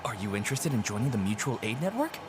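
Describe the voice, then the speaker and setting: A man's voice: mid-range voice, big pitch swings, quick pace, natural voice. An actor playing a friendly, persuasive male prison inmate recruiting newcomers in a video game. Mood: affable. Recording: loud game music. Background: game music.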